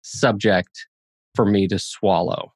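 A man's voice: on the low side, steady tone, slow pace, darkish tone, no echo, studio quality. A man co-hosting a casual podcast, speaking in a wry, joking, emphatic way. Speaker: podcast co-host, former college instructor.

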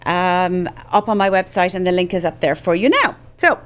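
A woman's voice: on the low side, lively intonation, normal speed. Chatty Cork-accented woman host. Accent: Irish, Cork. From Ireland. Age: 40s. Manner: chatty, rambling, with many fillers. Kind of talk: live-streamed casual chat.

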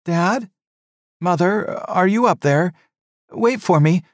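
A young son as a man puts him on, raised in pitch and eager, tumbling through apologies. Close, dry storybook sound. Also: animated, slow, no echo. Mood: calling.

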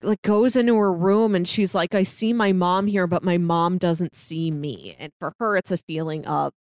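A woman's voice: on the low side, fairly even intonation, moderate pace, darkish tone, no room sound. Woman chatting reflectively, dry close sound. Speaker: podcast co-host reviewing films. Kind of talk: casual conversational film discussion.

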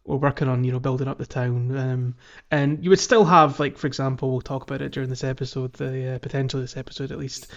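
A man hosting a podcast, chatting casually about a video game and thinking out loud as he goes. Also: medium pitch, fairly even intonation, fast, gravelly, few pauses, dull audio. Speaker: podcast host.

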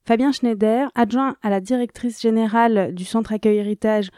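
A woman radio reporter narrating a scripted news report in an even, composed reading. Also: on the high side, normal speed, no room sound, studio quality.

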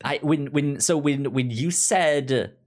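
A man's voice: a little high, takes it slowly. A man co-hosting a podcast, chatting casually about pop culture, with a stutter that repeats syllables and words. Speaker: podcast co-host.

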